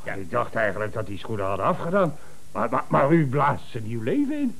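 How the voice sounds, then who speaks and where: An actor playing a hurried, emphatic, impatient male company boss in a 1970s radio comedy. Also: medium pitch, sing-song, creaky, rough recording. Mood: flustered.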